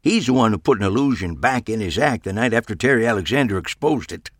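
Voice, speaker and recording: A man's voice: on the low side, lively intonation, quick pace, dry recording. A man voicing a dry-witted older male magician who grumbles retorts. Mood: wry.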